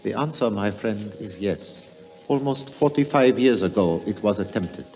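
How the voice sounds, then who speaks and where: An actor in a 1950s radio drama playing a merciless temple priest, speaking in a ceremonial, pompous and measured way. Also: male voice, on the low side, animated, music in the background. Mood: solemn, ceremonial. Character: solemn, merciless male temple priest pronouncing sentence. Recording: long reverberant hall, old radio drama.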